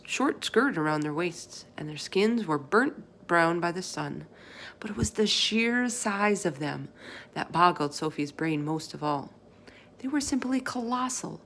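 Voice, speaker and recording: A woman narrating a children's book aloud in an expressive storytelling style. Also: lowish voice.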